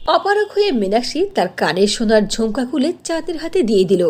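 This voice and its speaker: A woman's voice: fairly high pitch, big pitch swings, fast talker, a little mellow. A woman narrating a scripted cartoon story in a storybook style.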